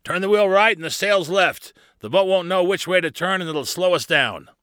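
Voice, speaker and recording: Guy doing a seasoned pirate first mate in a kids book, bossy n brisk, really animated, dry sound. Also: medium pitch, no echo. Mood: grave, commanding.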